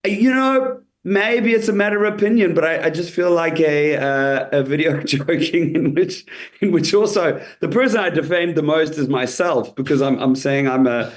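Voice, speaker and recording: A man's voice: a little high, moderate pace. Chatty comedian man telling a story. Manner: chatty storytelling, laughs easily, many restarts. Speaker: comedian guest. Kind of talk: interview.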